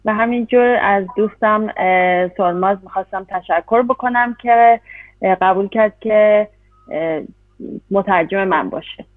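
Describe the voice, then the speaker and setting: A woman interpreting a talk, rushing through phrases with hesitant fillers between them. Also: medium pitch, dry recording. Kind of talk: consecutive interpretation. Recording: dry, tinny phone-like sound.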